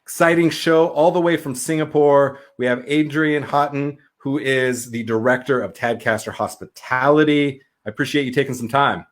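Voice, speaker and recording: A man hosts a casual interview podcast over a video call. He is upbeat and enthusiastic, and his voice sits in a middle pitch. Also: normal speed, hardly any pauses. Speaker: podcast host, chef.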